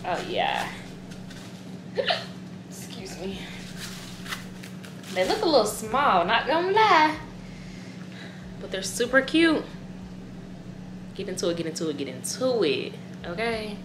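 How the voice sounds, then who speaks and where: Young woman, Southern American accent, excitable and slangy, roomy echo. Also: medium pitch, slow pace, long pauses. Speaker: vlogger. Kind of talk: casual vlog chat. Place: apartment kitchen, indoors. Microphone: camera at a distance. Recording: echoey room, clear background noise.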